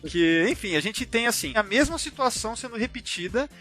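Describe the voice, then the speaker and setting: A man's voice: very high voice, lively intonation, fairly quick, sharp tone. A Brazilian man hosting a casual fan discussion, rambling and slangy, constantly tagging his sentences with little check-in questions. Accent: Brazilian Portuguese. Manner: informal, rambling, slangy, frequent tag questions. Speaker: podcast host.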